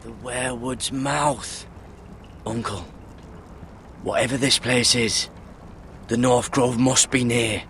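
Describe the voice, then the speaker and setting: A man's voice: medium pitch, steady tone, takes it slowly, pauses often, fairly bright tone. Earnest young fantasy watchman, mid voice, dutiful, asks a lot, slight noise behind. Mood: quiet wonder. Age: young adult. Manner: earnest, questioning. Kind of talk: dramatic fantasy game acting.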